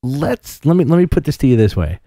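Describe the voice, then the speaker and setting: A man's voice: mid-range voice, big pitch swings, fairly quick, warm tone, no echo, studio quality. A man co-hosting a podcast, chatting about comics in a jokey way with many fillers. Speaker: podcast co-host. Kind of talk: casual comics chat.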